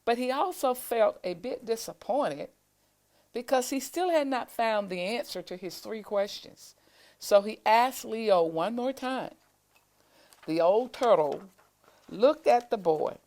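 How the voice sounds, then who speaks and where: A woman reading a children's storybook aloud, with deliberate, expressive phrasing, as school staff do for young listeners. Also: fairly high pitch. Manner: deliberate, expressive phrasing with pauses to show pictures.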